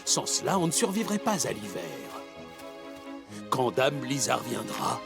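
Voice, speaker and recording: An actor voicing a kindly, pompous forest animal who likes to lecture, grandiloquent and deliberate, in a French cartoon dub. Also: male voice, mid-range voice, sing-song, vocal fry. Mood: proud, lecturing. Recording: music behind.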